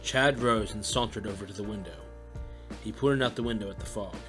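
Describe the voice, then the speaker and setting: A man's voice: fairly low pitch, fairly quick. A man reading scripted story narration as the narrator of an audio drama. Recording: music under the narration. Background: music.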